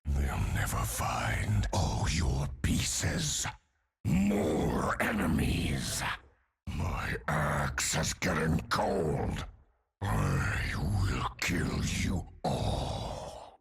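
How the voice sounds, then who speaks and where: An actor voicing a huge, bloodthirsty undead warrior for a video game, gravelly and snarling through gritted teeth. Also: male voice, extremely low pitch, animated, drawn-out words, heavily acted. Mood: menacing bloodlust. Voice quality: gravelly, guttural, raspy. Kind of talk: video game character voice lines.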